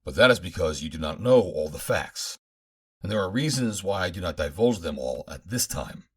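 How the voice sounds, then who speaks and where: A man voicing an earnest, resolute male adventure hero in an audiobook, firm, deliberate and declamatory. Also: sits low, lively intonation, breathy edge. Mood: firm, revealing.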